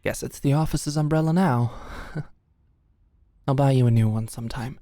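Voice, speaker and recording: A woman's voice: rumbling low, a little slow, mellow, dry recording. A woman playing a flustered, lovestruck office ex-employee, hesitant and rambling, in a scripted ASMR audio roleplay. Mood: flustered, eager.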